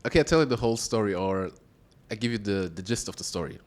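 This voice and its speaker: Man from Austria, podcast guest, storyteller, very lively pitch. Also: quick pace. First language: German. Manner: animated storyteller, acts out voices in anecdotes. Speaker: guest, strategic advisor. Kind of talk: casual podcast conversation.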